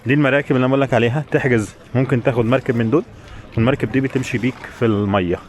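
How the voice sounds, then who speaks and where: A man's voice: medium pitch, steady tone, rapid-fire, a little mellow, slight background noise. A man with an Egyptian Arabic accent chatting informally and directly to viewers as a travel vlogger on the move outdoors. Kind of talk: casual walking vlog chat to viewers. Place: outdoors in a busy tourist village. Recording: crowd chatter, outdoor street sound. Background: crowd and outdoor sounds.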